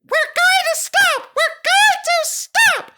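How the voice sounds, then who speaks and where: A man's voice: extremely high pitch, takes it slowly, very noisy, voice pushed up. A man voicing grumbling railway coaches in a children's storybook, whiny and shrieking in protest. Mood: frantic protest. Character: coaches, shrill grumbling complaining railway carriages.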